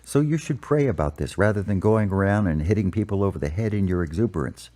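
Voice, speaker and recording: An actor plays a patient priest with a dark, mellow, lowish voice. He counsels in measured, explanatory sentences, in audio drama style. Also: lively intonation. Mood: patient counseling. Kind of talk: amateur audio drama dialogue.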